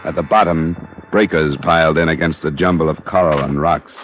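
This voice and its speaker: An actor playing a cool, terse American insurance investigator in a 1950s radio drama, with a dry, matter-of-fact delivery. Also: male voice, rumbling low, fairly even intonation, a little fast, faint music behind. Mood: cool, inquiring. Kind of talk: 1950s radio detective narration and dialogue. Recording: dull vintage radio recording.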